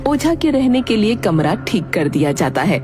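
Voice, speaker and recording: A woman's voice: mid-range voice, sing-song, normal speed. Female storyteller over background music. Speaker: narrator. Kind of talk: scripted storybook narration.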